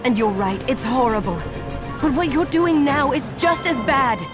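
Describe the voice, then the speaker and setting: A young heroine in a video game drama. Her voice sits fairly high and sounds earnest and resolute, carrying a burden. Music plays clearly behind her. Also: lively intonation, moderate pace. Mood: pleading. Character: young woman fighter, earnest, determined, burdened by crystal curse.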